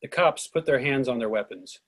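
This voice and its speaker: A man reading stage directions in an even, measured way for a script reading. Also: medium pitch.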